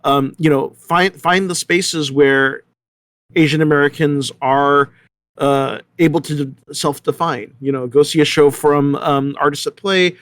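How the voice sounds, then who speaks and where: A man with a fairly high voice speaks as a guest in a casual discussion. He is emphatic and wanders between points, recorded dry with no room sound. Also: steady tone, unhurried, a bit bright, no room sound. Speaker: panel guest.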